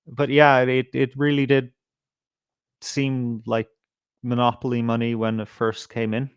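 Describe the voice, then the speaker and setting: A man's voice: mid-range voice, monotone, measured pace, smooth, no room sound, studio quality. A middle-aged man with an Irish accent hosting a casual live stream, swearing freely as he rambles and hesitates through his commentary. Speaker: livestream host.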